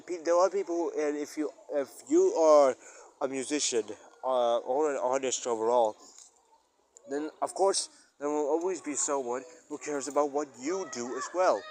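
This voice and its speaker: A man's voice: on the high side, darkish tone, a little hiss or hum. A man talking casually in a rambling personal audio log as a musician, often searching for words and stressing exclamations. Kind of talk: casual rambling spoken-thoughts monologue.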